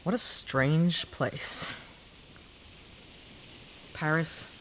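An actress playing a guarded, suspicious adventurer with a caring side in an audio drama. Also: female voice, deep voice, drawn-out words, fairly bright tone, exaggerated voice, low-quality recording. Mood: wary. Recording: faint hiss behind the voice.

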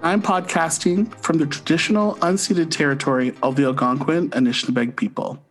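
A man's voice: a little high, steady tone, a little fast, soft background music. A man. He talks as a podcast co-host sharing a casual review, enthusiastic and emphatic in his delivery. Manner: effusive, emphatic.

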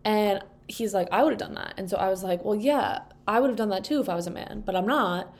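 Young woman, stuffy nose, chatty podcast host, rambling with fillers, table mic, middle pitch. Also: fast talker. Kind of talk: casual solo podcast chat. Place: indoors at a table. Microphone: microphone propped on a table.

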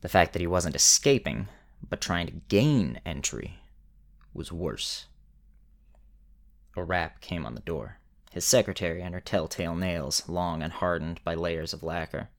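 A man narrating a scripted story in a measured way with dramatic pauses. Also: low-pitched.

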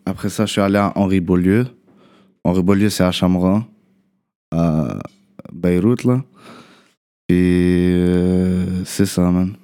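A young man is answering questions in a relaxed interview. His is Arabic. He speaks haltingly, restarts his phrases and tags sentences with a loose "là". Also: low voice, smooth. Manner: halting, repeats words, trails off with "là". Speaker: guest rapper. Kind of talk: casual interview chat.

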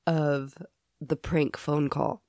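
A woman co-hosting a podcast, chatting casually and giggling through her stories. Her voice is going hoarse as she talks. The sound is close and dry, with no room echo. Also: deep voice, little pitch movement, slow, mellow. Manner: giggly, laughs often. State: losing her voice. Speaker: podcast co-host. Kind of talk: casual chat.

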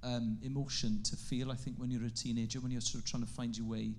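Welsh man, forties, native welsh speaker, chatty storyteller, laughs a lot, mid-pitched voice. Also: little pitch movement, fast, a little mellow. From Wales. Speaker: podcast guest, comedian. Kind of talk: casual chat.

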